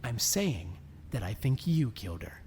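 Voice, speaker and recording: An actor voicing a smug, accusing young male student in an animated murder mystery, deliberate and taunting. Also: medium pitch, slight background noise.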